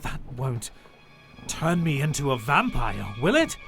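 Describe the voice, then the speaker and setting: A man playing a frightened, anxious sailor in an audio drama, halting and urgent. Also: mid-range voice, sing-song, a bit bright, faint music behind. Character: frightened male sailor, pleading, anxious survivor.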